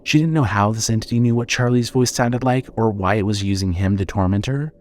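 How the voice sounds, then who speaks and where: A man narrating a scripted horror story as storyteller, measured and suspenseful. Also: on the low side, a little mellow.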